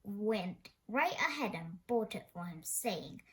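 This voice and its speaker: A woman's voice: medium pitch, expressive, takes it slowly. A woman reading a children's story aloud expressively, now and then stumbling over a word. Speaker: storybook reader.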